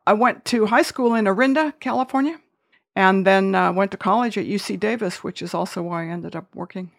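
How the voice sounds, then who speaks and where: An older woman with a gravelly, slightly creaky voice tells her life story. She talks in a chatty, self-correcting way, often restarting a thought before she finishes it. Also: mid-range voice, a little fast. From Wales. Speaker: guest. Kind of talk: conversational interview.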